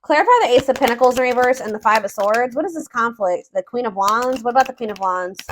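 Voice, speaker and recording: A woman with a fairly high voice talks through a tarot reading in a casual, unscripted way. She muses aloud, feeling for the right words and circling back to rephrase what she has just said. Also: slight background noise. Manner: hesitant, musing, repeats and rephrases herself. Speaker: tarot reader, host.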